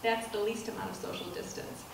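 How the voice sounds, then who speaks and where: A middle-aged woman giving a public lecture as a professor, speaking softly and with measured pacing, often pausing with ums and restarting phrases. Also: medium pitch, little pitch movement, vocal fry. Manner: soft-spoken, measured, with frequent ums and restarts. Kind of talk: lecture to an audience. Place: dark auditorium stage with projector screen. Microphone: handheld mic, recorded from a far camera. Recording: distant, echoey hall with room noise.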